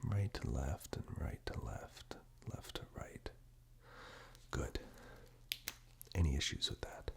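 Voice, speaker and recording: A man doing soft-spoken, half-whispered ASMR roleplay, reassuring and unhurried, speaking directly to the listener close to a binaural microphone. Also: lively intonation. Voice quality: breathy whisper mixed with very deep, gravelly murmur. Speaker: ASMR performer playing caregiver and eye examiner roles.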